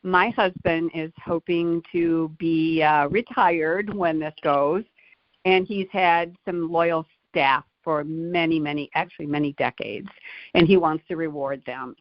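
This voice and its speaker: A woman's voice: low voice, a little slow, dry recording. A middle-aged or older woman from Michigan, a caller politely asking questions in a conversational style. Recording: dull lo-fi phone call audio.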